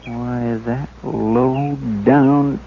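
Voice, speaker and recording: A folksy, earnest deputy. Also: male voice, mid-range voice, steady tone, very slow. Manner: folksy, deferential, says sir often. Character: loyal, simple male deputy, earnest and folksy. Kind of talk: 1950s radio drama acting. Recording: dull old radio recording with hiss.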